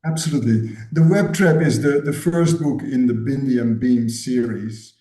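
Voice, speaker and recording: Dutch-born guy in his 60s, warm mellow voice, restarts his words a lot, echoey room. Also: medium pitch, normal speed. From Netherlands. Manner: thoughtful, repeats words while searching for phrasing. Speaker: guest author and educator. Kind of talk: interview answers. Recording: roomy echo.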